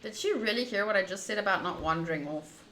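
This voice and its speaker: Bright-voiced woman streamer in an echoey room. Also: medium pitch, lively intonation, fast talker. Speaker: streamer playing a visual novel. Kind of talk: casual playthrough commentary and reading game text aloud. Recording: roomy echo, faint background noise.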